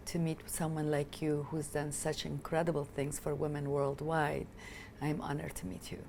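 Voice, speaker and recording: A woman's voice: sits low, flat delivery, normal speed, creaky, darkish tone, slight background noise. A woman in her fifties from Afghanistan, whose first language is Italian, speaking passionately and emphatically as an interview guest. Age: 50s. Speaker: guest, film director and activist. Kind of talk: interview conversation.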